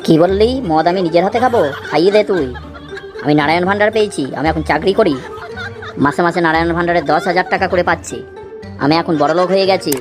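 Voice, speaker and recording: Cartoon swaggering village husband acted by a man: boastful, imperious, fast, music underneath. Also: high voice, close to an everyday voice. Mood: gloating. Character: boastful village husband lording over his wife. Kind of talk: Bengali comedy cartoon dialogue.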